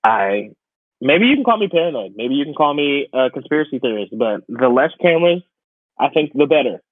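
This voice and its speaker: A man's voice: medium pitch, sing-song, fairly quick, dry recording. A man co-hosting a podcast in casual chat, opinionated and incredulous in the way he talks. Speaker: podcast co-host. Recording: thin phone-like radio sound, dry.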